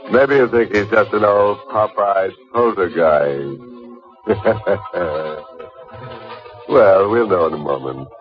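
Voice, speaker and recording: An actor playing a sly, sardonic, folksy host of eerie tales on old-time radio. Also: male voice, low voice, slow pace. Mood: sly teasing. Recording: dull vintage radio recording with music.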